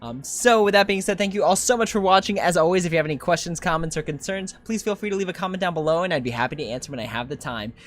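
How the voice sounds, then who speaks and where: A woman's voice: fairly low pitch, quick pace, few pauses, faint music behind. A woman hosting a gaming stream, commenting in a casual, informal, chatty way. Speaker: streamer, host.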